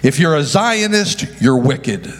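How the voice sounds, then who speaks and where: A man's voice: on the high side, sing-song, unhurried. A male pastor preaching a sermon, calling out to the congregation and often stumbling and correcting himself. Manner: preaching with call-and-response to the congregation. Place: church sanctuary. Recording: long echo of a large hall.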